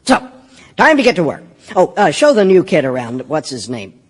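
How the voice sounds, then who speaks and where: Domineering, fatherly firm boss, high and very animated, clipped, emphatic stage-drama delivery. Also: moderate pace. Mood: placating, brisk. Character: volatile, paternal, domineering male boss of an architecture firm. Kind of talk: audiobook stage drama acting.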